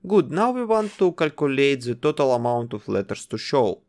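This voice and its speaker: Man, coding tutorial narration, step-by-step instructions, pitch rising and falling a lot. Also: sing-song, normal speed, a little mellow. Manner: instructive, gives plain commands one step at a time. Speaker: game development tutor.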